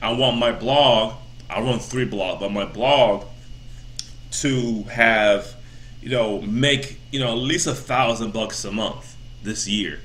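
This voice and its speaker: A man's voice: on the low side, slow pace, fairly bright tone. A man in his thirties giving a casual, rambling motivational talk as a vlogger, full of repeats and "you know" fillers. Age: 30s.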